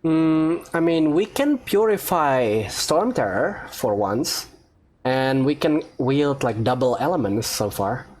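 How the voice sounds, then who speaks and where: A man's voice: mid-range voice, expressive, takes it slowly, a little mellow. A young man doing a casual let's-play, improvising playful, hesitant replies to the game's dialogue. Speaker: gamer playing and reacting on a channel.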